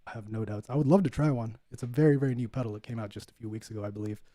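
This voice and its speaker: A man hosting his own livestream, chatting casually with viewers in a relaxed, laid-back way, full of fillers and calling people "bud". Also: mid-range voice, very fast, no room sound. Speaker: livestream host, bassist. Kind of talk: casual chat reading viewer comments.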